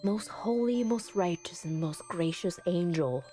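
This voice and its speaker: A woman's voice: lowish voice, a little slow, dark tone, faint music behind, close to an everyday voice. Woman reading as an earnest, solemn visual novel narrator. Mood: solemn, reverent. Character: earnest, anxious narrator summoning a ghost girlfriend.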